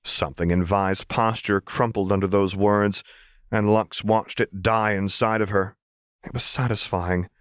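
Guy reading an audiobook story, fairly deep voice, scripted and dramatic, really dry close mic. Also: fairly even intonation, measured pace, clean tone, no room sound. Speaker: audiobook narrator. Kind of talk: scripted dramatic fiction narration.

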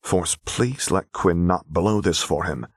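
A man's voice: deep voice, takes it slowly, husky, no room sound, studio quality, exaggerated voice. A man voicing an exasperated, urgent and protective young man in an audio story. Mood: urgent reassurance.

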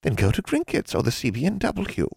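A man's voice: fairly high pitch, wildly swooping pitch, creaky, darkish tone, breathy edge, dry recording, clean studio sound, voice pushed up, full character voice. A man voicing a cackling old hag moneylender. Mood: mocking. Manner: cackling, teasing, drawn-out. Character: sly old female hag moneylender, mocking.

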